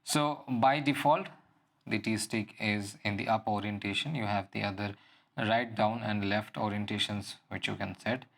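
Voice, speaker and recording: A man giving an unscripted product walkthrough as a reviewer, explaining things in halting phrases. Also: lowish voice, fairly even intonation, gravelly, fairly bright tone. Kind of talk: unscripted app and gadget walkthrough.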